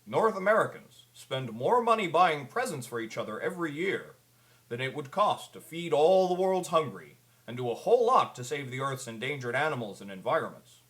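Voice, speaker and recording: A man's voice: fairly high pitch, sing-song, normal speed, a bit bright. A man playing a kindly, concerned old Santa Claus, giving an earnest, pleading scripted public address. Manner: earnest, pleading storyteller delivery.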